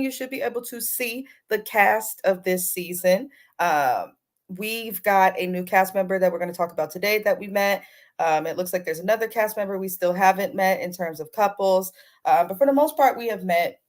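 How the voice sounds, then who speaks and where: A woman hosting a casual, chatty TV recap, speaking informally to her viewers with a slightly congested voice and a sore throat. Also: fairly low pitch. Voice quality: slightly congested, not crisp. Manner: informal, addresses viewers directly as "you guys". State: under the weather, sore throat with throat clearing. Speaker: host of a TV recap channel.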